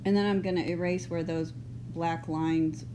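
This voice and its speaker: A woman with a soft Texas accent, smoothed by years up north, walks students through a drawing. She speaks slowly and loosely, a little low in pitch. Steady hiss and noise sit under the recording. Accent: mild Texas, partly northern. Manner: relaxed, unhurried, loose and chatty. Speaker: art teacher. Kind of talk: drawing lesson, thinking aloud. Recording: noisy home recording.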